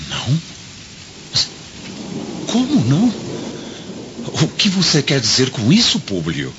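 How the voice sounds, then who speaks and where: An actor playing a pragmatic, sceptical Roman senator in a radio play, speaking in an emphatic, theatrical way as a friend and adviser. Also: male voice, a little high, wildly swooping pitch, slow pace, exaggerated voice. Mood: surprised. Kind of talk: dramatic radio play acting. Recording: dull lo-fi audio with storm noise.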